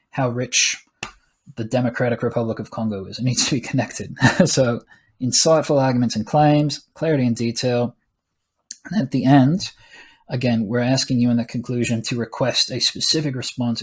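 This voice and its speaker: Chatty male teacher, husky voice. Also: mid-range voice. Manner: casual, chatty explaining with small laughs and asides. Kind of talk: screen-recorded lesson walkthrough.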